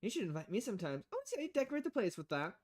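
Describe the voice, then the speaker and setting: Visual novel bar boss played by a woman: high-pitched, inquisitive, talkative, very animated intonation. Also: racing. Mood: impressed, eager. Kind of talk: visual novel dialogue read aloud.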